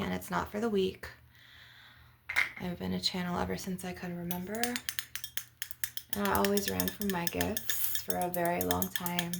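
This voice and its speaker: Woman with creaky voice guiding healing session. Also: medium pitch, very noisy. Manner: instructive, guiding breathing and visualisation. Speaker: spiritual healer hosting an ASMR channel. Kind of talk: ASMR energy-healing session.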